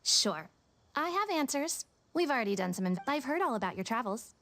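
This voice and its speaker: A woman playing a shrewd, confident young detective for hire in a video game, businesslike and measured. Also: high-pitched, big pitch swings, fairly bright tone, full character voice. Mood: businesslike, self-assured. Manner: businesslike, turning to measured storytelling. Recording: game music behind the voice.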